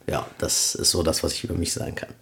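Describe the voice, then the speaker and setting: A man's voice: fast talker, sharp tone. A man in his thirties, born in Peru, talking as a guest on a casual podcast, rambling with many fillers. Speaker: guest, event promoter.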